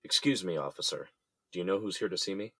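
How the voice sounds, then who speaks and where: A man's voice: deep voice, fast, pushed and bright, breathy edge, no room sound, full character voice. An actor playing a troubled, subdued businessman in jail in an audio drama, speaking in a hesitant, downcast manner. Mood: polite. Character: troubled, subdued jailed businessman, adult man.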